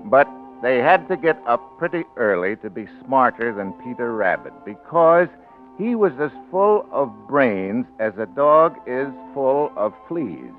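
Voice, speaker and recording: Through a tinny, narrow old radio recording with some music, a rough American political man in a 1940s radio drama. His medium-pitched voice swings up and down expressively. He talks in a gruff, colloquial way, awkward and hesitant as a husband. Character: rough, plain-spoken crooked politician, awkward bashful husband.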